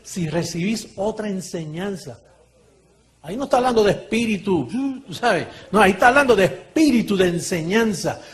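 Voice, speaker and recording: A high-voiced man preaches a sermon to a congregation. He swings from low, quiet asides to loud shouted points and often laughs between phrases.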